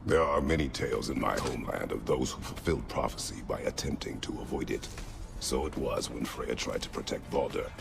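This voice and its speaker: Game role: grim warrior god, rock-bottom pitch, heavy growling rasp, terse formal lines, music behind. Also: male voice, brisk, background noise, full character voice. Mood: grave, reflective. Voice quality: gravelly. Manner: terse, grave, formal without contractions. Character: stern, battle-hardened warrior god, protective father. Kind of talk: video game dramatic acting.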